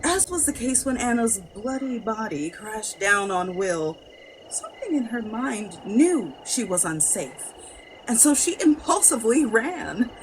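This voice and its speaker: A woman's voice: fairly high pitch, big pitch swings, measured pace, rough recording. A woman reading scripted, dramatic narration for a horror story. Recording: clear background noise.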